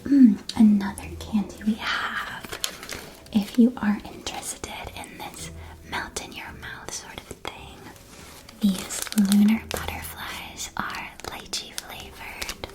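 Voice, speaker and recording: Woman playing a slow whispering witch-world candy shopkeeper. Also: medium pitch, fairly even intonation, close to an everyday voice, poor audio. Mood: gentle, helpful. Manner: gentle, unhurried, helpful sales patter. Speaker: ASMR roleplay performer. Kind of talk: whispered ASMR roleplay.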